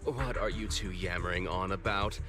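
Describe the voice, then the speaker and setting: A man's voice: low-pitched, moderate pace, music in the background, natural voice. A man playing a pompous, hot-headed cult villain in a video game, speaking in a grandiose, self-important way. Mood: indignant, threatening. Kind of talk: dramatic video game voice acting.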